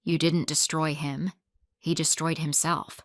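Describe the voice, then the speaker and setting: A woman reading the lines of an earnest young woman, asking soft, earnest questions. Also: low-pitched, flat delivery, measured pace, dry recording, studio quality.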